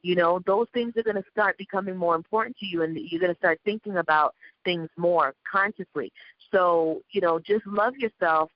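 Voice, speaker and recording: Calm, self-assured woman on a lo-fi call. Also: mid-range voice, no room sound. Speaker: spiritual guest. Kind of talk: spiritual advice in conversation.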